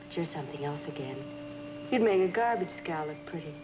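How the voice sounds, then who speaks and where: Low-voiced world-weary dame. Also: female voice, expressive, a little fast, background noise. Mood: sardonic. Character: world-weary, sardonic married woman yearning to escape. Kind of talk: old-time television drama acting. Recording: muffled vintage broadcast, loud music behind.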